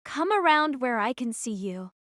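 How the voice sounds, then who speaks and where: A woman's voice: a little high, big pitch swings, normal speed, fairly bright tone, no room sound, studio quality. A woman playing a guarded, wary teenage girl archer who narrates her own story in an audio drama. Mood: wary, tense.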